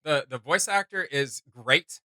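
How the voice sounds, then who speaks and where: Male reaction host: very bright, punchy voice, dry close sound, opinionated and conversational. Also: on the high side, lively intonation, measured pace, no room sound. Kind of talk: casual reaction commentary.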